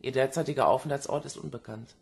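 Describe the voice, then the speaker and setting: A man's voice: mid-range voice, fast, creaky, a little mellow, breathy edge. An actor playing a male police investigator in a German radio crime drama, factual and businesslike. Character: matter-of-fact police investigator briefing a colleague.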